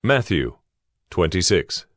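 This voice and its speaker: A man narrating scripture from a script in a measured, formal way. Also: sits low, very animated, fairly bright tone, soft background music.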